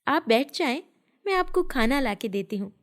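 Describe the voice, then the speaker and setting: A woman voicing a kind, earnest young wife who longs for a child in an animated fairy tale. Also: sits high, moderate pace, pitched above the performer's own voice. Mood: kind, welcoming. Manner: earnest, caring.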